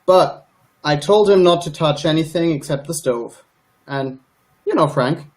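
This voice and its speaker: A man's voice: a little high, measured pace, a little mellow, lightly acted. A man playing a busy, defensive small-town sheriff in a radio play, talking conversationally and justifying himself. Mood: businesslike, defensive.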